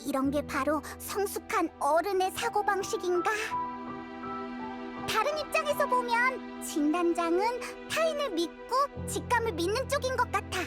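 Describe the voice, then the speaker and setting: A woman voicing a small, childlike fairy companion in an anime game, squeaky, bubbly, expressive and curious. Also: moderate pace. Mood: thoughtful. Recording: dry studio, music behind.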